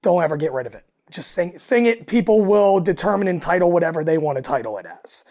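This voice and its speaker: A raspy-voiced young man from Delaware, a musician talking as a guest in a casual interview, animated and chuckling, often restarting his sentences. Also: sits high, quick pace.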